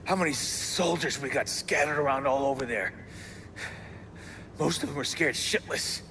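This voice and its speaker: A man's voice: mid-range voice, normal speed, creaky, pushed and bright, a little hiss or hum. A man playing a wounded, cynical American rogue operative in a video game, ranting profanely and defiantly. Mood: bitter. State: badly wounded, coughing. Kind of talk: video game cutscene acting.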